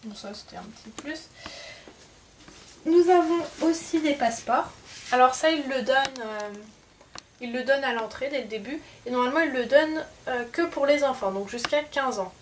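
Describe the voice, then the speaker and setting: A woman vlogger with a slightly high voice talks casually through her souvenirs, stumbling and restarting her sentences often. She sits a little back from the mic, with a faint hiss underneath. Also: moderate pace, recorded from a distance. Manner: hesitant, many fillers and self-corrections. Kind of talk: casual show-and-tell.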